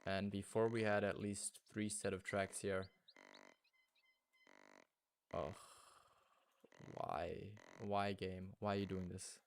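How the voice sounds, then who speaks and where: A man's voice: deep voice, little pitch movement, takes it slowly, long pauses, slight background noise. A man whose first language is German, chatting casually with viewers while streaming a hunting game and thinking aloud. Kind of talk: casual gaming livestream chat.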